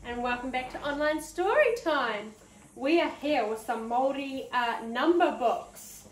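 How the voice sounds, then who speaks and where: High-voiced New Zealand woman teaching small children. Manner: animated, encouraging, sing-song teacher talk with drawn-out words. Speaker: preschool teacher and story time host. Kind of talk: interactive children's story time lesson. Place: preschool room, indoors. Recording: roomy, distant mic, background noise.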